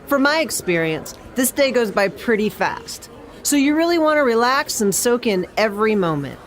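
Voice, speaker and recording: A woman's voice: on the high side, very animated, normal speed. A woman playing a friendly, gracious veteran wrestling star in a video game cutscene.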